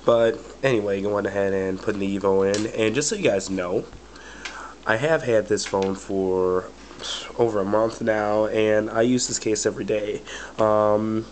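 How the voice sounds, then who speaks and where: A man's voice: lowish voice, steady tone, a little slow, slight background noise. A man with a bad cold and a slightly cracky voice, casually reviewing a product and rambling with lots of fillers. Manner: rambling, many fillers like "but anyway" and "or whatever".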